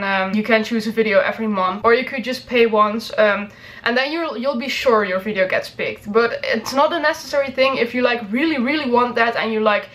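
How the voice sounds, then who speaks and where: A woman's voice: a little high, a bit bright. A woman chatting casually to viewers as a reaction video host, rambling and hedging as she goes.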